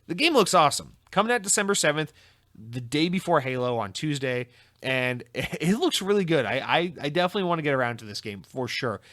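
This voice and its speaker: A young man hosting a solo gaming podcast, talking bluntly and casually with a tired, slightly raspy voice. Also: mid-range voice, fairly bright tone. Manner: blunt, profane, ranting. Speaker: podcast host.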